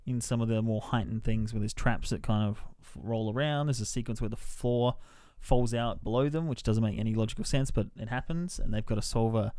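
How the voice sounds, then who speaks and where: A man's voice: lowish voice, fairly quick, hardly any pauses, warm tone, dry recording. Man chatting casually on a dry mic. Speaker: film reviewer and podcast co-host. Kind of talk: casual film discussion.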